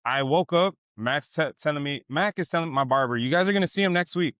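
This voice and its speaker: Young dude hosting a podcast, slangy, animated, tells stories, dry sound. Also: male voice, fairly high pitch, fast, no echo. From South Texas. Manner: animated storytelling, slangy, says "bro" and "man" a lot. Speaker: podcast host. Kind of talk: casual chat. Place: barbershop.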